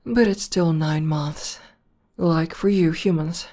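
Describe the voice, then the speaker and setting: Casting: woman voicing tomboy demon girlfriend, ASMR roleplay. Deep-set, relaxed tone, slow intimate delivery, fond and playful. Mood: explaining gently. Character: buff tomboy demoness girlfriend, affectionate and teasing. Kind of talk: ASMR roleplay audio spoken to the listener.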